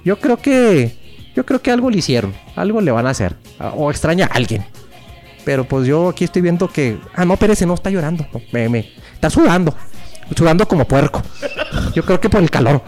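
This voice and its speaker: A man's voice: fairly high pitch, very animated, fairly quick, darkish tone, faint music behind, pitched below the performer's own voice. A man voicing a crude old fortune-teller woman with a Mexican accent, scolding and insulting the others in a mock-mystical way. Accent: Mexican Spanish. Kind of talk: improvised comedy sketch.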